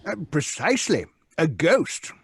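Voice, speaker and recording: Aristocrat played by a man, radio drama. Higher-set, swooping voice, courteous and hesitant. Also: wildly swooping pitch, unhurried, fairly bright tone, a little hiss or hum, exaggerated voice. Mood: earnest, courteous. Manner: formal, hesitant, ornate politeness. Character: courteous, hesitant English lord, owner of a haunted house. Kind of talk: old-time radio play acting. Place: home recording booth, video call. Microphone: close studio microphone.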